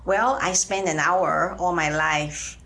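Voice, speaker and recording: Low-voiced middle-aged woman with a Taiwanese accent. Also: fairly even intonation, pushed and bright, poor audio. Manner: halting, pauses often mid-phrase. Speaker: interview guest, teacher. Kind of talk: conversational interview answers. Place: radio studio.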